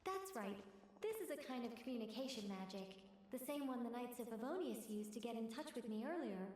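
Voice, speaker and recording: An actress playing a composed, businesslike mage in a video game, calm, polite and explanatory. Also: female voice, a little high, fairly quick, a little hiss or hum, natural voice. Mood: polite, reassuring. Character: composed, businesslike female mage directing a staged play.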